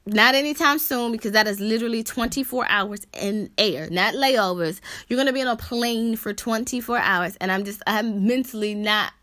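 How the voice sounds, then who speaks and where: An American woman talking casually about travel with a friend, animated and full of quick asides. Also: fairly high pitch, no room sound, studio quality. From United States. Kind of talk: casual chat.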